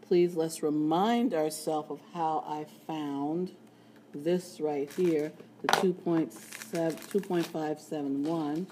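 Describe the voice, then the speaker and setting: A woman teaching a statistics lesson in a screencast, with a raspy voice and playful, chatty asides. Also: deep voice, steady tone, slow, background noise. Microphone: tablet screen recording.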